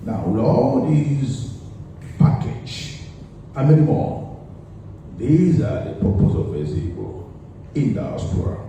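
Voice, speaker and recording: A middle-aged community leader, a man, answering interview questions in a measured way and listing his points one by one. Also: medium pitch, sing-song, dark tone. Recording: echoey room, voice far from the mic, faint noise.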